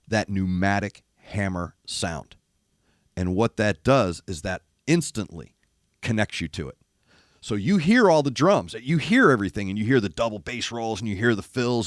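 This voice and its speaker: An older man sits close to a dry, dead-sounding mic, his low voice gravelly and worn from losing it. He breaks down drum parts with emphatic, animated commentary, thumping out beats with his mouth. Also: dry recording. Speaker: host of a music reaction show. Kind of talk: casual music commentary. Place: studio in east Nashville.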